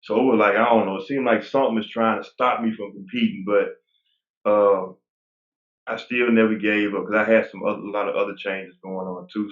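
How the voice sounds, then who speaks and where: A man in his forties, a guest bodybuilder, talking casually and rambling with many restarts. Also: on the low side. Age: 40s. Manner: relaxed, rambling with frequent restarts. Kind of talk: casual interview talk. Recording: tinny phone-like line, roomy echo.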